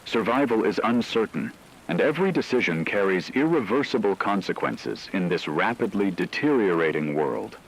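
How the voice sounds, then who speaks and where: A man playing a grave radio newsreader, reading a scripted breaking war bulletin in a solemn, measured, ominous way. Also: on the low side, animated, rough recording. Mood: grave, urgent.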